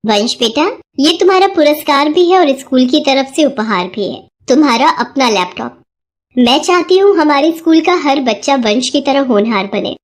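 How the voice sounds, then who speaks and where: A woman's voice: high voice, lively intonation, moderate pace. A woman voicing a formal, authoritative female school principal in a cartoon story.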